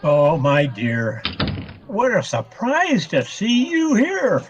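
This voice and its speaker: A man's voice: high voice, sing-song, takes it slowly, dull audio, noisy background, exaggerated voice. A man playing a tipsy, henpecked husband in a radio comedy, wheedling and placating with flowery self-importance. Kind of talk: old-time radio comedy acting.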